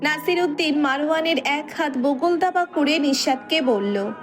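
A woman's voice: high-pitched, a little slow, faint music behind. A woman reading a scripted story as its narrator.

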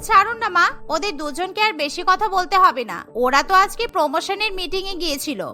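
A woman's voice: very high voice, animated, normal speed, sharp tone, faint music behind, heavily acted. A woman voicing a smug, sugary young daughter-in-law in a cartoon, coaxing and condescending.

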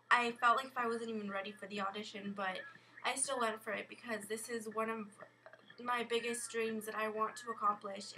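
A young American woman telling a rambling, casual vlog story in an expressive way, acting out exchanges as she goes. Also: a little high, background noise. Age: young adult. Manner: animated, expressive, acts out exchanges. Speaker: vlogger. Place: bedroom at home. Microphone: built-in camera or webcam mic at arm's length.

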